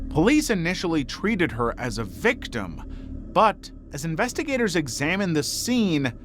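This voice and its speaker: Male true crime narrator: slightly high register, animated melody, measured dramatic phrasing over a music bed. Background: background music.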